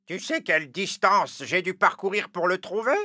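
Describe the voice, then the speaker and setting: A woman voicing a selfish, arrogant crow in an animated fable, haughty and dismissive, with a raspy, sharp voice. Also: on the low side, moderate pace, no echo, clean studio sound. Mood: indignant, dismissive. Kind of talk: animated fable character acting.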